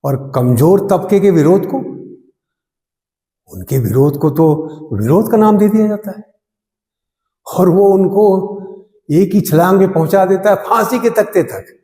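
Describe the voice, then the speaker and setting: A man acting an impassioned, bitterly ironic army defence lawyer in a theatrical courtroom monologue, oratorical, with emphatic repetition and dramatic pauses. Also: sits high, expressive, warm tone. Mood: indignant. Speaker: actor performing an audition monologue. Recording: echoey reverberant room.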